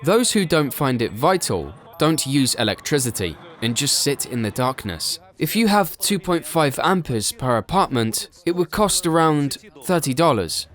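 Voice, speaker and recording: A man's voice: a little high, sing-song, moderate pace, hardly any pauses, a bit bright. Man narrating a documentary voice-over.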